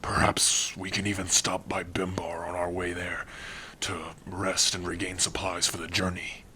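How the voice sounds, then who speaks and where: A man acts out a protective orc lover in an ASMR roleplay. The voice is low and forceful, yet hushed and tender, speaking closely to one listener with halting earnestness. Faint rain noise sits behind it. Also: completely flat delivery. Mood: calm, gentle. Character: protective male orc warrior lover, gruff but tender.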